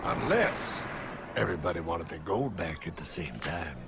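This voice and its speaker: A man's voice: low voice, big pitch swings, moderate pace, gravelly, heavy background noise, exaggerated voice. A man with an American accent voicing a brash, theatrical, streetwise cartoon mentor who preaches and talks cockily. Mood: smug. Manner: dramatic, cocky, preachy, calls listener pal. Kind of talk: animated explainer cartoon acting. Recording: music bed behind the voice.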